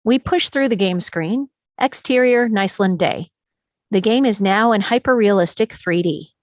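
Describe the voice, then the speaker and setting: A woman's voice: mid-range voice, normal speed, no room sound, studio quality. A woman narrating scripted stage directions at a screenplay table read.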